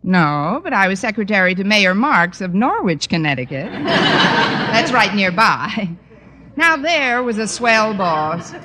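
An actress playing a wry, wisecracking high school English teacher in an old radio comedy, with dry, sardonic delivery. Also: female voice, slow pace, heavily acted. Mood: dry, quizzical. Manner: dry, sardonic, deadpan quips. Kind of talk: 1940s-style radio comedy acting. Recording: noisy vintage radio recording.

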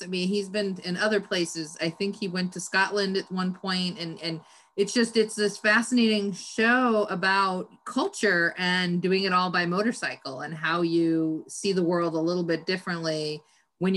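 A woman's voice: medium pitch, fairly bright tone. A middle-aged woman hosting a podcast interview, chatty and teasing, often laughing as she talks. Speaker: podcast host. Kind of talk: casual interview chat.

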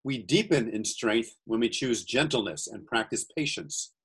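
Husky-voiced American man on a call. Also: mid-range voice, animated, low-quality recording. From USA. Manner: earnest, reads cards slowly and deliberately. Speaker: guest entrepreneur. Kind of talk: casual video-call chat. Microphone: video call.

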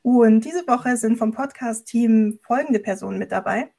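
A woman presents a podcast as its host. Her voice sits a little high. She speaks in the style of a show introduction. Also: normal speed, vocal fry, mellow.

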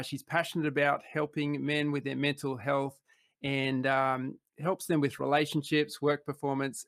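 Aussie bloke hosting a podcast, kinda gravelly, umms and restarts a lot, dry sound. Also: male voice, on the high side, fairly bright tone, no echo. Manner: halting and earnest, many fillers and restarts. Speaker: podcast host. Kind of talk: casual interview.

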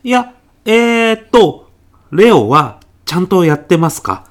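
A man's voice: high voice, big pitch swings, measured pace. A man playing a polite, hesitant, slightly evasive young child's father in a comedic radio drama. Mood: polite, relieved. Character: mild, awkward father of a preschooler, evasive. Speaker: parent in a comedy sketch. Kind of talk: comedic short radio drama, phone meeting.

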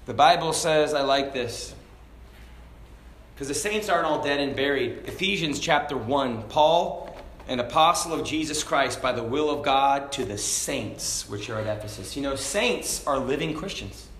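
A man preaching a sermon to a congregation, talking casually and then building to loud, fervent shouts. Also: mid-range voice, animated, moderate pace, slight background noise. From Hong Kong. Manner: conversational preaching, rising to shouts. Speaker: pastor. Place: church sanctuary. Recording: echoey church room.

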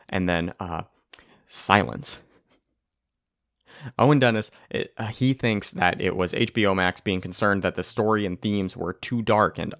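Excitable young man ranting on a podcast. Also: on the low side, dry recording, clean studio sound. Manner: excitable, rambling, swears, laughs often. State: tired voice. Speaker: podcast host. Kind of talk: casual podcast rant. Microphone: close to the mic.

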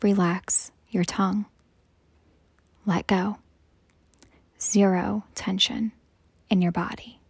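Female meditation guide: hushed and breathy, unhurried phrases with long pauses, level delivery. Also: mid-range voice, level tone, dry recording. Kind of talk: slow guided meditation narration.

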